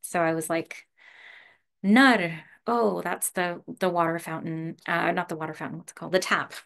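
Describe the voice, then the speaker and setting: Woman, meditation teacher, casual talk, a little gravelly, easy laughs, lots of ums, video call. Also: fairly low pitch, normal speed. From Canada. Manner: relaxed, laughs often, many fillers.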